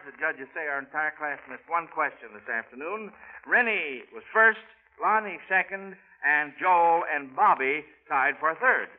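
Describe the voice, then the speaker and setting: An American man hosting a 1940s radio quiz, talking in a folksy schoolmaster manner to children. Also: fairly high pitch. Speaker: quiz show host. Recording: tinny, band-limited old radio recording.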